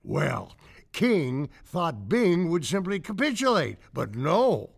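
A fussy, bookish office worker in a satirical audio drama. The voice is creaky and fairly high, rising and falling a lot, with polite, halting, mannered phrasing. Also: male voice, very animated, exaggerated voice. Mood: earnest, lecturing.

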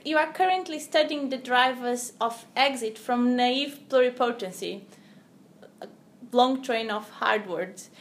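A woman hosting a podcast, asking interview questions in a polite, measured way. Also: high-pitched, fairly bright tone. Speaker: podcast host.

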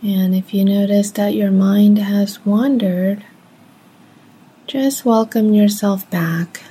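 A soft-spoken woman leading a guided meditation in gentle, measured phrases with long pauses. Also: medium pitch, steady tone, slightly rough.

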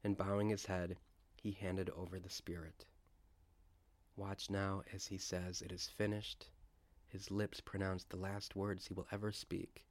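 A man leading a guided scripture meditation, speaking in a deliberate, reverent way with pauses. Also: sits low, little pitch movement, no room sound. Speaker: guided prayer leader.

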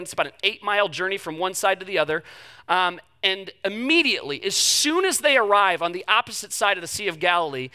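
Guy pastor cracking jokes mid sermon, talks like he's chatting with the congregation, sharp bright tone. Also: high voice. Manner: joking, chatty, self-deprecating asides. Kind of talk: casual conversational sermon. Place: church in front of a live congregation.

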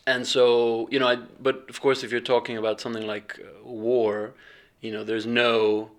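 A man with a middle-pitched voice talks in a relaxed interview. He is reflective and halting, often breaking off and restarting as he searches for words. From England, raised partly in Bahrain. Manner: hesitant, reflective, many restarts and ums. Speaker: filmmaker and fellowship alumnus.

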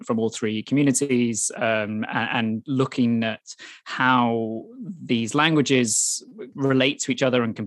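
A man's voice: mid-range voice, dry recording. Guy from wales talking about his book, lots of ums and restarts, dry close sound. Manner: thoughtful, frequent restarts and ums. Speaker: author guest. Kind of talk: interview answers.

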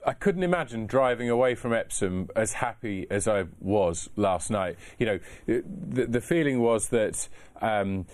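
A man's voice: lowish voice, lively intonation, unhurried, few pauses, darkish tone. A man who works as a newspaper racing journalist, speaking in a studio discussion in a reflective, expansive way with many restarts. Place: radio studio.

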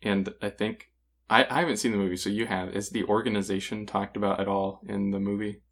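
A man's voice: lowish voice, flat delivery, fairly quick, breathy edge. A man co-hosting a podcast, giving his thoughts in casual, conversational review talk. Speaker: podcast co-host. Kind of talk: casual comic review discussion.

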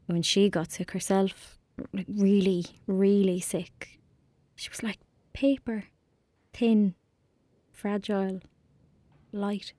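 A woman's voice: medium pitch, fairly even intonation, slow pace, dark tone, no room sound, close to an everyday voice. A woman with a rural Irish accent playing a tender, wistful young girl in an audio drama. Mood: wistful, sad. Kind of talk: audio drama acting, monologue and dialogue.

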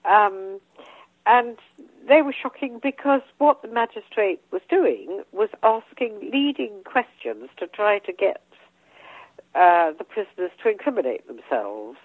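A woman's voice: medium pitch, big pitch swings, measured pace, no room sound. An older English woman talking as a guest author, hesitant with many ums and restarts, and losing her voice. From England. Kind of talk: casual interview talk. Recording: tinny phone line.

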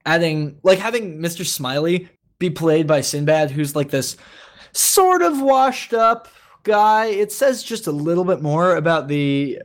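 Male podcast co-host, somewhat high voice, informal conversational delivery. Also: expressive, unhurried. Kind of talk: casual podcast chat about a cartoon.

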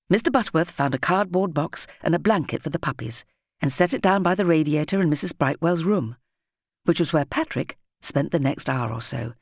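A woman reads a children's story in a husky, slightly low voice. Her intonation is very lively, with deliberate, dramatic phrasing. The sound is dry and close, suited to an audiobook. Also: no room sound. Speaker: audiobook narrator. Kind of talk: expressive scripted children's story narration.

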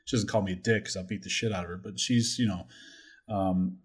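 A man's voice: low-pitched, fairly even intonation, fairly quick, slightly rough. A man in his forties chatting casually as a podcast host, rambling and joking with some crude language.

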